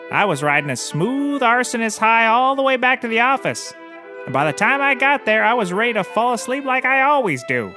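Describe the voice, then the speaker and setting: Bright-voiced, rambling shameless private investigator played by a man. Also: very high voice, heavily acted. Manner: rambling, casually confessing, oblivious. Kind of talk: scripted comedy courtroom drama acting.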